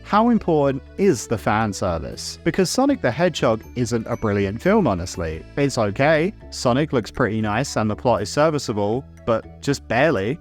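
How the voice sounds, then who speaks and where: A man's voice: mid-range voice, big pitch swings, moderate pace, few pauses, faint music behind. A man narrating a scripted video essay as host, casual and opinionated, with wry asides and the odd swear word.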